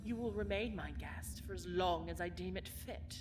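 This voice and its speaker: A woman's voice: fairly low pitch, moderate pace, noisy background, close to an everyday voice. A woman playing a cold, bitter villainess who rules a dungeon, speaking with haughty, theatrical menace in a fantasy audio drama. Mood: haughty, menacing. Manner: haughty, menacing, theatrical, swinging from hushed to forceful.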